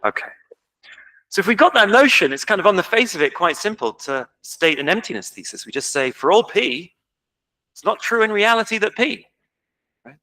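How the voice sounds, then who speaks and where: Man, English accent, conference lecture, informal, self-deprecating, bright tone. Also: sits high, big pitch swings, moderate pace, low-quality recording. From England. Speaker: conference speaker. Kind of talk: academic lecture. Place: lecture hall.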